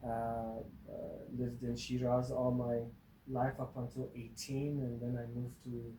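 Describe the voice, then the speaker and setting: A man's voice: mid-range voice, completely flat delivery, a little slow, mellow, reverberant, slight background noise, poor audio. A man in his forties from Iran, a professor guest who talks in a wry, joking way with many fillers. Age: 40s. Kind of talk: interview conversation.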